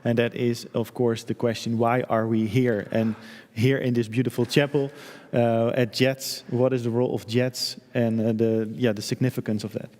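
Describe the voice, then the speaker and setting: A man's voice: medium pitch, flat delivery, moderate pace, a little mellow. A man moderating an event from a chapel, hesitant, with many fillers. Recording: long reverb of a chapel.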